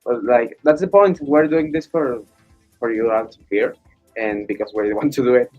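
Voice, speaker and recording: A man's voice: mid-range voice, moderate pace, soft background music. Laid-back man with a dull sound. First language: Spanish. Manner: laid-back, laughs often, slangy. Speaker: student co-host. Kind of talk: casual radio chat.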